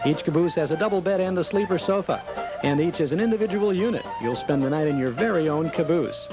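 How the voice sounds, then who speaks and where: Man, ad read, inviting, slightly high voice, clear music behind. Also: animated, quick pace, poor audio. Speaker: commercial announcer. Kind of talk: scripted ad read.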